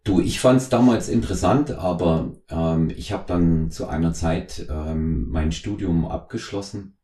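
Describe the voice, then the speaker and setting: A middle-aged man from East Germany hosting a conversational podcast interview, speaking in wordy, halting phrases. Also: low voice, mellow. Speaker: podcast host. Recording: roomy, echoing voice recording.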